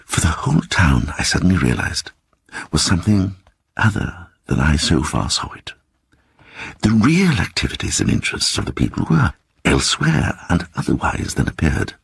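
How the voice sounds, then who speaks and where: An actor voicing a timid little man who earnestly and softly recounts an eerie adventure in a dramatic audiobook reading. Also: very deep voice, vocal fry, husky, no echo, studio quality, full character voice. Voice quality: soft voice. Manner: hesitant, earnest, growing excited.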